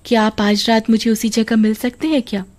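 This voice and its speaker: Woman as a giggly, high-pitched village girl. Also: level tone, fairly quick, a little mellow, close to an everyday voice. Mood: coy. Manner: gushing, giggly. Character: excitable, wide-eyed village girl, sweet and playful.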